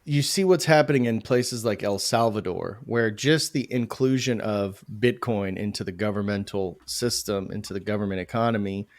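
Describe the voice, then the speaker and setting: A man's voice: on the low side, moderate pace, hardly any pauses, dry recording. A man, a crypto project founder, speaking emphatically and animatedly in a podcast interview, often correcting himself mid-sentence.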